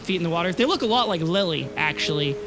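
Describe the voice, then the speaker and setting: A man acting as game master, improvising narration in a casual, halting way for a tabletop game. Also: a little high, animated.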